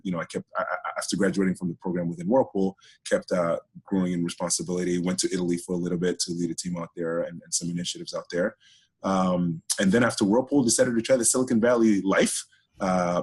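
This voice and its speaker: A man's voice: sits low, slightly rough. A middle-aged man who grew up in Ghana and Greece, talking chattily as a guest with many restarts. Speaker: guest, HR director. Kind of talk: casual interview talk.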